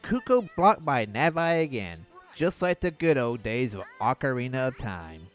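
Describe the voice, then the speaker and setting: A man hosting a video, riffing on cartoon clips with mocking, deadpan sarcasm. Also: medium pitch, normal speed, over loud music. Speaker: video host. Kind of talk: sarcastic riff commentary.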